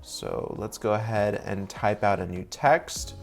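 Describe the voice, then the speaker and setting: Upbeat man walking through editing software. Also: on the low side, measured pace, gravelly, soft background music. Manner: upbeat, step-by-step explaining. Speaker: video editor and tutorial host. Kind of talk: sponsored software tutorial walkthrough.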